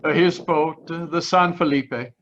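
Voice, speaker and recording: Muffled, halting Italian man, played by an actor. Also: a little high, a bit bright, slight background noise. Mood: halting, troubled. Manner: halting, formal broken English. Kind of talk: old-time radio drama acting. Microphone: video call. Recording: muffled video-call audio.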